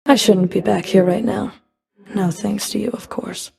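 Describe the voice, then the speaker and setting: A woman playing a stern, scornful immortal underworld enforcer in a video game, speaking in a cold, curt, guarded way with a gravelly edge. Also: mid-range voice, fairly even intonation. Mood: grudging, bitter. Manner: cold, curt, disdainful, deliberate threats.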